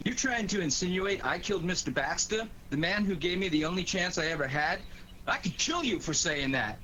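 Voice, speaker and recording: Tense, hot-tempered accuser, very animated. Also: male voice, sits high, fairly quick. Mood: outraged. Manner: confrontational, formal. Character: hot-tempered, loyal male secretary, menacing accuser. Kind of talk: 1940s radio detective drama acting. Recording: dull, slightly noisy 1940s radio recording.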